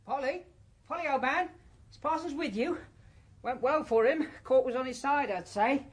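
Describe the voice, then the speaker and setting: An actor playing a loyal, practical young shop assistant in a period radio drama. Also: male voice, very high voice, moderate pace. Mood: excited, anxious.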